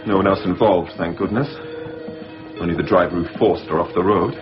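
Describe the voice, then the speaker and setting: Man playing a low-voiced, understated hospital worker. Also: fairly even intonation, fairly quick, music in the background, natural voice. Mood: explanatory. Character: measured male hospital mental-health worker briefing a colleague. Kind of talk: naturalistic radio drama acting. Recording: dull lo-fi radio recording.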